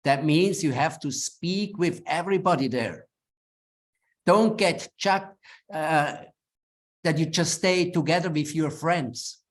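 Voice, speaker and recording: A man's voice: on the high side, slow. A middle-aged man from Switzerland with a Swiss German accent, English not his first language, giving earnest, plain-spoken advice in a webinar talk with frequent restarts. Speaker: webinar speaker. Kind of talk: advisory talk to lawyers.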